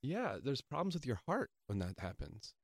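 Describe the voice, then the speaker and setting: A man's voice: medium pitch, wildly swooping pitch, moderate pace, gravelly, no room sound, clean studio sound. A man chatting casually as a guest on a talk show. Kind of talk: casual chat.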